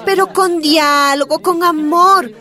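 A woman's voice: very high voice, slow, bright, forceful tone, heavily acted. A woman playing a false, condescending charity leader in a radio drama, coaxing in a patronising way. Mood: sugary coaxing.

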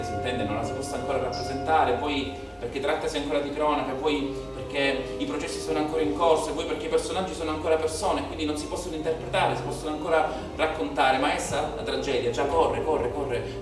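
A man's voice: on the high side, quick pace. A man performing a theatrical narrative monologue, declamatory and ironic, with incantatory repetition. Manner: ironic, satirical. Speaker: stage actor and storyteller. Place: echoey performance space, music behind. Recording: echoey theatre, distant mic, music behind.

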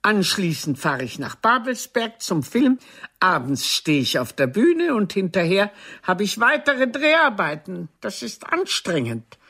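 An older actress playing a proud, wounded theatre diva in a German radio drama, with a haughty, theatrical and cutting delivery. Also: female voice, medium pitch, very animated, measured pace, a bit bright, husky, full character voice. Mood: haughty, boastful.

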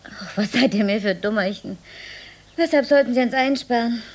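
An actress playing a careworn village mother with a husky, breathy voice, coaxing her children in an intimate radio drama. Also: fairly high pitch, moderate pace, darkish tone, close to an everyday voice. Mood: coaxing, soothing.

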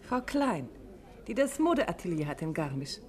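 An actress playing a detective's playful, observant wife in a 1950s German radio drama. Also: female voice, fairly low pitch, normal speed. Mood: amused. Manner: playful, expressive, well-mannered. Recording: vintage radio play, music behind.

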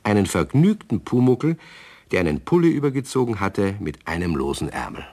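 A man narrating a children's radio story calmly, speaking to young listeners. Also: fairly low pitch, a little fast.

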